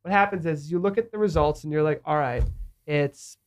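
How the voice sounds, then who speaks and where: A man's voice: fairly high pitch, brisk, clean tone. Rambling nasal man hosting a podcast. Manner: rambling, filler-heavy, acts out quotes. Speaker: host. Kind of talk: casual studio podcast chat.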